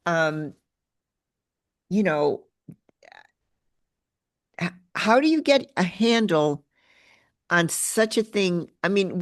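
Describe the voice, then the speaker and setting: A woman speaking as the host of an interview, in a conversational style. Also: lowish voice.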